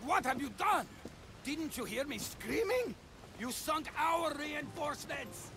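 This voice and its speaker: Male village chief, game cutscene: blunt, sardonic, high voice, highly animated. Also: unhurried, creaky, noisy background, full character voice. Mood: furious, exasperated. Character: exasperated male leader of a besieged ancient Greek village. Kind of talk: video game cutscene acting.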